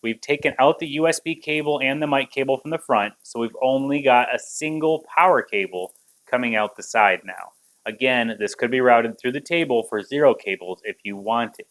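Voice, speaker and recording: A man's voice: medium pitch, normal speed, fairly bright tone, rough recording. A man in his thirties gives a conversational, step-by-step product demo in an explanatory manner. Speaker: tech reviewer and presenter.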